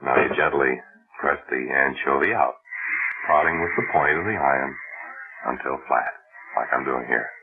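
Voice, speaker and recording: A very low-voiced, deadpan demonstrator in a radio comedy sketch. He instructs earnestly, step by step, like a bumbling pitchman. The old recording sounds dull. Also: moderate pace, long pauses, slight background noise. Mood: deadpan instructing.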